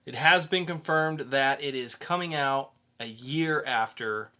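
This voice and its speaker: A man's voice: medium pitch, unhurried, sharp tone. A man co-hosting a podcast, chatting casually about pop-culture news and freely offering opinions. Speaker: podcast co-host.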